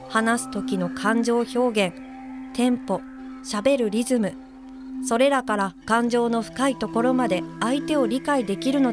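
This is a conscientious young woman who helps others get around, as an actress plays her in a radio drama. She reads in a soft, polite, measured way, a touch above average in pitch. Music plays under her voice. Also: lightly acted. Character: earnest young female guide-helper, polite and conscientious. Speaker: caregiver reading a letter aloud.

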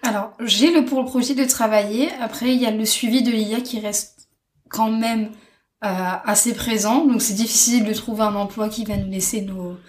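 A woman in her twenties, a guest sharing her story in a casual interview, speaking in a halting, thoughtful way with soft fillers. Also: fairly high pitch, steady tone. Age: 20s. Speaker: interview guest, stay-at-home mother. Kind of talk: casual personal testimony.

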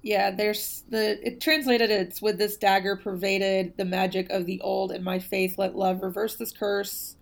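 A woman's voice: mid-range voice, flat delivery, a little slow, vocal fry, hardly any pauses. A woman co-hosting a casual podcast about a book, thoughtful and hedging, with many ums and you knows. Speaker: podcast co-host discussing a book. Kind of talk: casual conversational chat.

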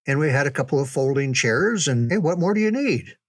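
An older man reminiscing in a casual, folksy way, unhurried, with pauses for emphasis. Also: on the high side, lively intonation, slightly rough, no echo, clean studio sound. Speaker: podcast storyteller, reminiscing father. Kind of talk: casual conversational storytelling.

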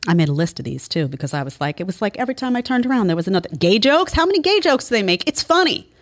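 Female host, emphatic and incredulous commentary, lively intonation, middle pitch. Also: very fast. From Italian family background. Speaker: show host. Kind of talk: opinion commentary monologue.

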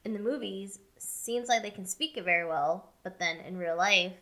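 A woman co-hosts a relaxed movie review talk, talking freely and laughing easily. Her voice is bright and clear, sitting at a middle pitch. She pads her thoughts with fillers as she goes. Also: moderate pace. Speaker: co-host. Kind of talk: casual chat.

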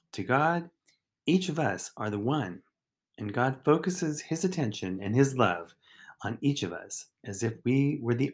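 A man reading a scripted daily devotional as a deacon and host, phrasing it deliberately in short, measured units. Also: mid-range voice. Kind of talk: scripted devotional reflection, read aloud.

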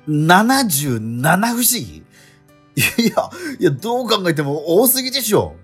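A man's voice: high-pitched, big pitch swings, a little slow, a bit bright, husky, soft background music, exaggerated voice. A man playing a bemused, good-natured transfer-student schoolboy, reacting like a puzzled straight man in a radio drama. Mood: excited.

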